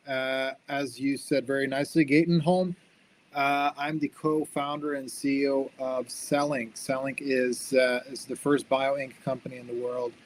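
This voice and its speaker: A young man presents on a video call in a friendly, upbeat way. He talks at a middle pitch and often stumbles into repeated words before carrying on. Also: rough recording. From born in Sweden, raised in the United States. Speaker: guest speaker, company founder. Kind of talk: online seminar talk with slides.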